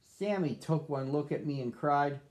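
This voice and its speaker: A man's voice: a little high, normal speed, a little mellow, natural voice. A man narrating as a sad, lonely young male dog in a children's storybook, reading earnestly. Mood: wistful.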